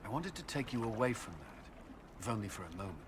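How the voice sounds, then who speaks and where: A man playing an earnest former Templar commander haunted by guilt, speaking haltingly and formally in a dramatic video game. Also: fairly low pitch, sing-song, background noise. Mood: tender, reflective.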